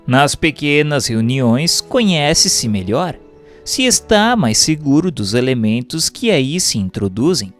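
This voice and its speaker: A Brazilian man narrates in a formal audiobook style. He takes his time with each phrase, and his intonation rises and falls widely. Soft music plays behind his voice. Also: mid-range voice. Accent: Brazilian Portuguese. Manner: formal, measured, expressive phrasing. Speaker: reader of a Spiritist text. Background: background music.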